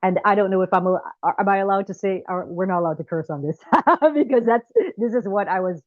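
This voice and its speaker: A woman's voice: mid-range voice, brisk, dry recording, dull audio. A woman from Jamaica, a filmmaker, telling her story as an interview guest in an earnest way and laughing between phrases. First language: not French.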